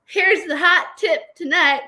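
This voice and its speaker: A woman's voice: very high-pitched, a little slow, bright, forceful tone, full character voice. A woman playing a tearful, gushing, churchgoing grandmother as a guest on a comedy show. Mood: weepy gushing.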